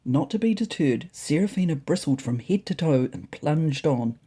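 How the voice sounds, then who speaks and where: A woman storyteller with a lowish, husky voice reads a first-person tale. Her delivery is wry and dry, yet lively in its rise and fall. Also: normal speed.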